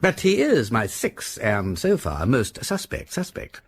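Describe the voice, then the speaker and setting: A breezy upper-class English gentleman sleuth, swooping voice. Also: medium pitch, huge pitch swings, measured pace, slightly rough, exaggerated voice. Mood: thoughtful, intent. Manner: breezy, rambling, repeats phrases for emphasis. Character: jovial, chatty aristocratic English gentleman detective, deceptively silly. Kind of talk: radio drama acting.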